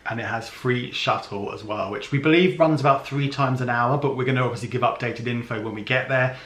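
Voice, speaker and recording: Vlogger: man, mid-pitched, chatty and explanatory, roomy echo. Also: brisk, a bit bright. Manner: chatty, explanatory, many ums. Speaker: travel vlogger. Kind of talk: casual planning chat. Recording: echoey room.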